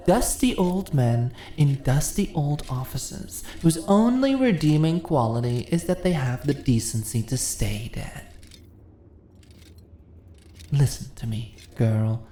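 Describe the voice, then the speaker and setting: A woman's voice: low-pitched, dark tone, heavily acted. A woman playing a sly, commanding immortal dungeon mistress in a fantasy ASMR roleplay, teasing and condescending in a theatrically persuasive way. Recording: echoey room with faint noise.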